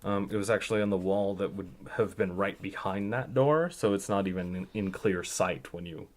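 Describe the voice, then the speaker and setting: Low-pitched man, halting and reflective. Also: darkish tone. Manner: halting, thoughtful, pauses mid-sentence. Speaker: podcast guest. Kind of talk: casual, reflective personal storytelling.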